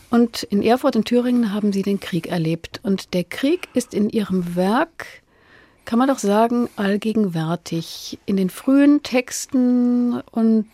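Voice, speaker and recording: Woman, radio interviewer, dark mellow tone, dry close studio sound, measured and articulate. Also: medium pitch, no room sound. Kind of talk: prepared radio interview moderation. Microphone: close studio microphone.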